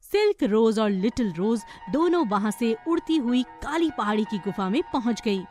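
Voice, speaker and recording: A woman reading scripted narration for a children's animated story. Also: on the high side, normal speed, soft background music.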